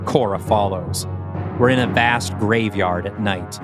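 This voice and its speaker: A man's voice: on the low side, a little slow. A man reading scripted stage directions as the narrator of a dramatic audio play, with music behind him.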